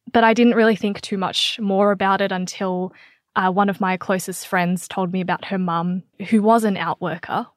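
A woman's voice: medium pitch, no echo, studio quality. A woman with an Australian accent, telling a story conversationally as an interview guest. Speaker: podcast guest, writer and editor.

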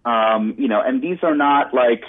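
A man with a husky voice speaks as a casual interview guest and commentator. He is halting, repeating words as he thinks, over a narrow, phone-like connection. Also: mid-range voice. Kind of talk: casual spontaneous interview chat. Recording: muffled, band-limited remote line.

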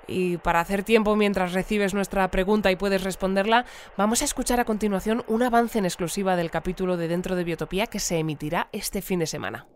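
An actress with an accent from Spain playing a composed radio interviewer, measured and politely formal, in a fiction podcast. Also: female voice, mid-range voice, fairly even intonation, a little hiss or hum, natural voice. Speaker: radio host. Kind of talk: radio presenting, acted.

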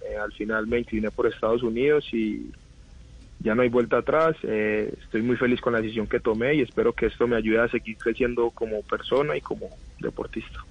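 A young man, giving thoughtful radio interview answers with many pauses. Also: mid-range voice, steady tone, slightly rough, narrow, tinny audio. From Colombia. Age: 20s. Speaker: footballer guest.

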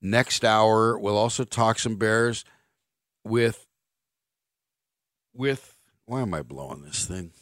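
Man, middle-aged, sports radio host, blunt, sarcastic, lowish voice, dry studio sound. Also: slow pace, long pauses, fairly bright tone, dry recording. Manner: blunt, sarcastic, reads listener texts. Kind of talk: opinionated sports talk radio. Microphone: studio microphone.

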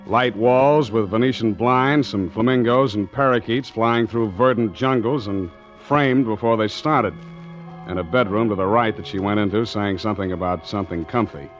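An actor playing a tough, wry ship's master who narrates his adventure in deadpan 1940s radio noir style. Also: lowish voice, moderate pace, close to an everyday voice. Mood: wry narration. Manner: deadpan, wisecracking. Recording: muffled 1940s radio recording with music.

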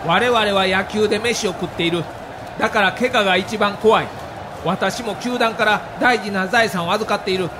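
A stern middle-aged baseball manager, acted by a man, scolds and protests with formal authority. The voice is high and declarative, in audio-drama style, over clearly audible noise. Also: normal speed, lightly acted. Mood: stern, angry. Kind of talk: dramatized documentary dialogue.

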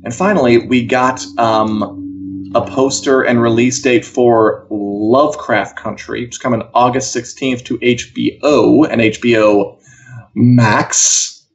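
The recording is a bit dull and lo-fi, like a live stream. A man speaks at an average pitch. He delivers entertainment news and opinions as a co-host, in a casual, wry, conversational way. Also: steady tone, measured pace, a little hiss or hum.

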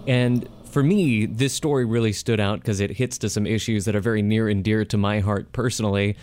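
A man's voice: on the low side, steady tone, brisk, a little mellow, a little hiss or hum. A man hosting a radio interview show, speaking in a conversational, emphatic presenter style. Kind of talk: scripted radio introduction and interview.

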